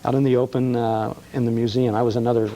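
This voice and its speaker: A man's voice: medium pitch, little pitch movement, fast, mellow, a little hiss or hum, poor audio. A middle-aged man, a radio host chatting enthusiastically as an interview guest and telling stories with many fillers. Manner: enthusiastic, storytelling, frequent uh fillers. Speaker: radio host and museum co-founder. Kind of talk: casual interview chat.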